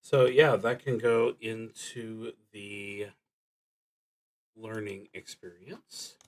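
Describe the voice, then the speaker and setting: A man with a fairly low voice talks very slowly as he plays a solo roleplaying game on a livestream. He alternates reading out prompts with working through his choices, hesitating and letting phrases trail off. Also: steady tone. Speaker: solo tabletop roleplaying streamer.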